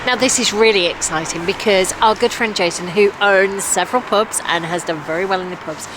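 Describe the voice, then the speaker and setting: A woman's voice: medium pitch, brisk, noisy background. A woman in her forties with an English accent, chatting and laughing with her husband for a vlog on a night out. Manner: chatty, laughs often, teasing. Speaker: vlogger co-host. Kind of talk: casual chat on a night out. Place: outdoors on town streets, then a busy restaurant. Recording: loud music and crowd behind.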